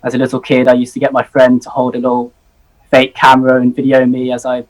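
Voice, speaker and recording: A man's voice: medium pitch, monotone, quick pace, darkish tone, poor audio. Earnest young man on video call. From Hong Kong. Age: 20s. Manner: earnest, eager, many ums and uhs. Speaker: zoology student guest. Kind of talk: live video-call interview.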